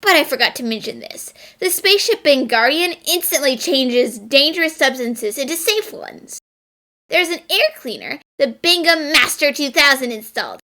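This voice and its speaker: A woman voicing a conceited young male academic and amateur mystery writer in an animated fan dub, telling stories smugly and theatrically. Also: sits high, moderate pace, heavily acted. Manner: smug, theatrical storytelling.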